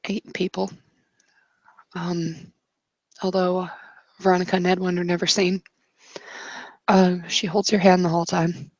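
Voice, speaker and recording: A woman game master improvising narration for a tabletop roleplay, halting yet directive. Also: fairly low pitch, flat delivery, darkish tone, no room sound, lo-fi sound.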